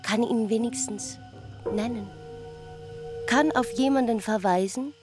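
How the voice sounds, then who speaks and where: An actress playing a hopeful, devoted village woman in a literary radio drama, speaking in halting, deliberate broken phrases. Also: fairly high pitch, close to an everyday voice. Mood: hesitant hope. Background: music.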